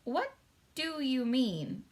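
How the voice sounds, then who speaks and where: A woman's voice: sits high, sing-song, very slow, pitched above the performer's own voice, full character voice. A woman voicing a small, curious storybook girl, insistent and full of questions. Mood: questioning. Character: small, curious, pleading, bold young girl.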